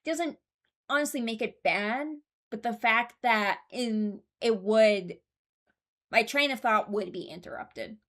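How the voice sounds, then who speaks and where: A woman's voice: a little high, measured pace, fairly bright tone. A woman giving casual commentary, thinking aloud in a hesitant, deliberating way and often correcting herself. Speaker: host ranking game voice lines.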